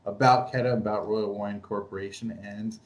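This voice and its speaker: Male podcast host, relaxed and casual, asks questions. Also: lowish voice, normal speed. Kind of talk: casual interview.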